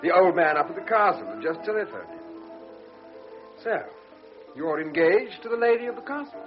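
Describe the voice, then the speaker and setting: Brief: a teasing officer, animated pitch, muffled broadcast with music. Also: male voice, normal speed. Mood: jovial. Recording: dull lo-fi radio broadcast.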